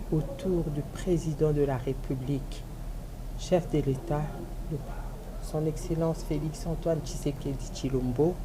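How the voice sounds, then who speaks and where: A woman's voice: low voice, noisy background. A woman politician, making an emphatic rallying appeal in a TV interview and repeating her key phrases. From DR Congo. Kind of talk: televised interview statement.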